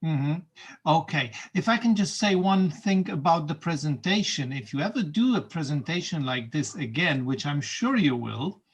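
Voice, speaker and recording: A man moderating an online school video meeting, relaxed and chatty, with many fillers and small laughs. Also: fairly high pitch, slightly rough. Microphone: video call.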